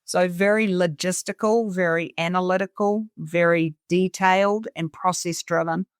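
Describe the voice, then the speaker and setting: A woman's voice: fairly low pitch, fairly even intonation, moderate pace, smooth, dry recording. A middle-aged woman from New Zealand speaks with her native accent in a clean, resonant voice. She talks conversationally as an interview guest, and the sound is dry and close. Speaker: interview guest, cabin resort owner. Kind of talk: conversational personal storytelling.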